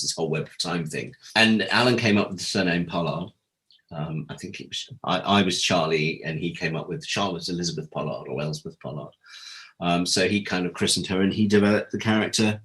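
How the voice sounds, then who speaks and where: A British man chatting as an interview guest, telling anecdotes in a casual, animated way and acting out bits of dialogue. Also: deep voice, low-quality recording. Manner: animated storyteller, acts out quoted dialogue. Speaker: interview guest, former audio drama producer.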